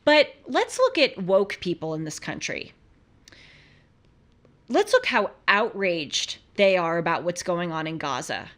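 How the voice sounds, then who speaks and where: A woman's voice: lowish voice, big pitch swings, a little slow, a bit bright. An American woman hosting a talk show, giving conversational political commentary in an earnest, emphatic way full of rhetorical questions.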